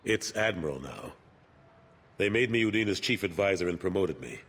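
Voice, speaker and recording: A man playing a seasoned, frank military admiral in a video game, with a husky, measured voice. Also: deep voice, lively intonation, a bit bright, full character voice. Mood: dry, grumbling. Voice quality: husky, rough-edged.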